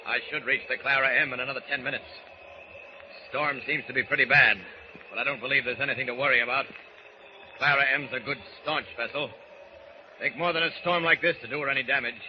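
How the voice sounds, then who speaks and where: Guy as confident superhero talking to himself, resolute, mid-pitched, muffled old radio show. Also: steady tone, a little fast, natural voice. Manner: resolute, clipped self-narration. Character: confident, alert male flying superhero, thinking aloud. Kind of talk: old-time radio drama acting. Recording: muffled old radio recording, faint noise.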